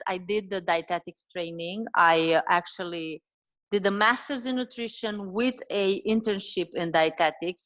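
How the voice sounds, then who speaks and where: A woman in her forties from Romania with a Romanian accent, talking as a dietitian guest in a casual interview, with many fillers and restarts. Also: lowish voice. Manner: warm, animated, frequent fillers and restarts.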